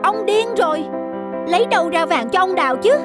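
Actress as caring peasant wife, very high lively voice, earnest, folk-tale cartoon. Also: female voice, a little fast. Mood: worried, reasoning. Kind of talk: animated folk tale dubbing. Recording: music under the voice.

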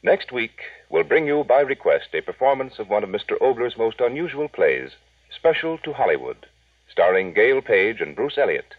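Male 1940s network announcer, animated, husky read of scripted credits, thin vintage sound. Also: medium pitch, moderate pace, no room sound. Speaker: radio announcer. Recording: distant, phone-like vintage radio broadcast.

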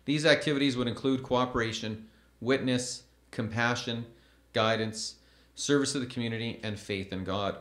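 A man in his thirties reading school award announcements from a podium microphone in a measured, formal way. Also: mid-range voice, a bit bright, reverberant. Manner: measured, formal, reads names slowly. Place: school event stage at a podium.

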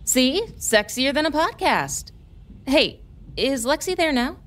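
A woman's voice: high-pitched, sing-song, slow pace, a bit bright, full character voice. A woman playing a wry, harried TV news reporter in an audio drama, sardonic and casual. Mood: teasing, affectionate. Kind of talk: naturalistic audio drama acting.

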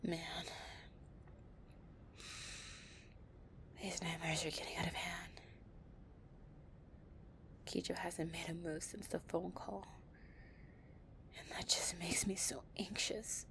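Low rumbling noise sits behind a deep female voice. It is a woman acting a possessive, anxious delinquent girlfriend in an intimate roleplay audio. She murmurs slowly and breathily, tough at heart but tender with her partner. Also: steady tone, vocal fry, a bit bright. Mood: shaken, anxious.

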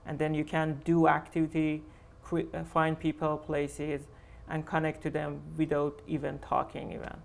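A man whose first language is Farsi speaks earnestly on a theatre panel, pausing to find his words. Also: a little high, completely flat delivery, creaky, a little hiss or hum. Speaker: panel guest, arts curator. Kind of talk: panel talk on stage. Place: small theatre stage with audience.

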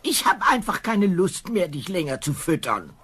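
An actress playing a poor, ageing widowed mother, nagging yet fond, in an expressive fairy-tale audio drama style. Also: fairly low pitch, normal speed, slightly rough, exaggerated voice. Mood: exasperated, scolding. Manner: scolding, expressive.

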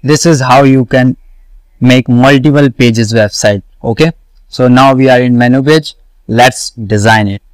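A man walking through a coding tutorial, slowly repeating each step and answering his own questions. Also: medium pitch, no echo, poor audio. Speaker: web development tutor. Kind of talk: screen-recorded coding tutorial.

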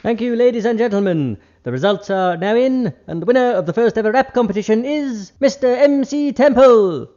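A man's voice: extremely high pitch, expressive, a little fast, hardly any pauses, warm tone. A man playing a polite, formal master of ceremonies in a radio comedy parody. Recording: muffled, noisy vintage radio.

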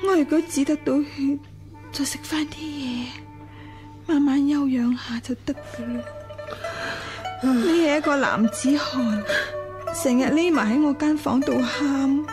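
An actress playing a frail, gravely ill concubine in a radio drama, speaking plaintively and with resignation. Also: female voice, high voice, steady tone, unhurried, long pauses, music in the background. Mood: weary, concerned. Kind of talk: Cantonese radio drama acting.

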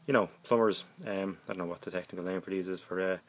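A middle-aged man giving a casual, halting DIY demonstration as a hobbyist, thinking aloud as he goes. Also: low voice, fairly even intonation. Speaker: hobbyist presenter.

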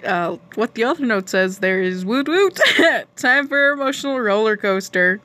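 A woman's voice: a little high, animated, a little slow, a bit bright. A woman narrator giving an amateur reading of a fan fiction story.

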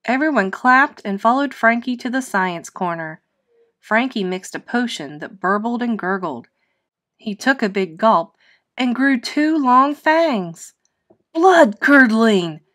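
A woman reading a children's storybook aloud, narrating expressively and deliberately. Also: fairly high pitch, lively intonation, fairly bright tone. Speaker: storybook reader.

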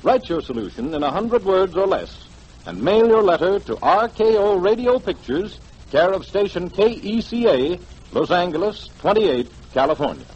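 A man announcing formally and emphatically in the style of 1940s radio promotion, with a husky voice. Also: high-pitched, very animated, normal speed, darkish tone. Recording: dull, lo-fi 1940s radio broadcast.

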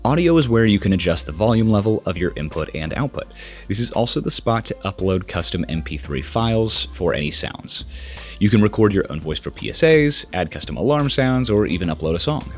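Male tech presenter, 20s, American, lowish voice, casual scripted how-to delivery over music. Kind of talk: product setup tutorial, casual but scripted narration.

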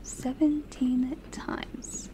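A woman's voice: high voice, flat delivery, extremely slow, noisy background. A woman playing a prim whispering assistant. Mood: polite whisper. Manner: soft whispering, formal and politely teasing. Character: poised young female personal assistant, prim and mischievous. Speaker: personal assistant to the listener. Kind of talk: ASMR audio roleplay.

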